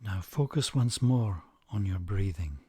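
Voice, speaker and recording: A man narrating a guided meditation in a measured way, leaving long pauses between short phrases. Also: low voice, lively intonation, a little mellow, breathy edge, no echo.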